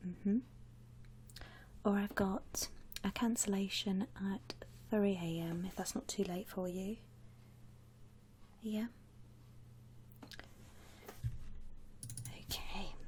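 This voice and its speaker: A woman playing a friendly, attentive saleswoman in a whispered ASMR roleplay, speaking softly in soothing customer-service patter with endearments. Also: lowish voice, slight background noise. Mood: attentive, reassuring. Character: friendly attentive saleswoman at a vampire coffin shop. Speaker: ASMR performer.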